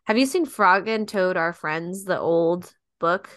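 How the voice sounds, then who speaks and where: Chatty young woman with a smooth voice. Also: lowish voice, lively intonation, measured pace, fairly bright tone, no echo. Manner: chatty, lots of likes and ums, laughs easily. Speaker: podcast guest, dance partner. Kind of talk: casual podcast chat.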